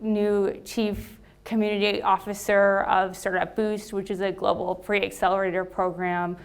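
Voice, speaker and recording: Smooth-voiced American woman giving a talk. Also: mid-range voice, little pitch movement, unhurried. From Los Angeles. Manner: hesitant, frequent ums and restarts. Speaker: meetup speaker. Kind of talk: live talk to an audience. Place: meetup venue with seated audience.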